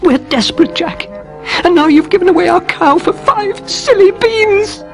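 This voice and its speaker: Man voicing an excitable high-pitched widowed mother. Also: moderate pace, vocal fry, full character voice. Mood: furious, despairing. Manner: exclaiming, emphatic. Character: poor widowed mother, excitable and quick-tempered. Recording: music playing underneath.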